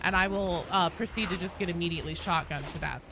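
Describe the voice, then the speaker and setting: A woman's voice: on the low side, fast, background noise. A woman livestreaming a game, chatting casually in a rambling, sweary, self-deprecating way. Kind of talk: casual gaming stream chat and commentary.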